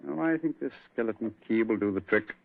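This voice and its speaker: A quick-witted English detective, a man who talks fast with a lively, swooping delivery. His speech is crisp and commanding, and it comes through thin and tinny, like a vintage radio play. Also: lowish voice, dry recording. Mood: brisk. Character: brilliant male English detective, brisk and sharp. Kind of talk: 1940s radio acting. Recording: tinny old radio broadcast.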